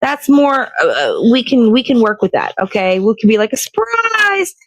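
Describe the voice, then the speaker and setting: A woman's voice: fairly high pitch, sing-song, normal speed, no room sound. Chatty woman host, dry close sound. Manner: rambling, self-correcting, chatty. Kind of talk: casual chat while crafting.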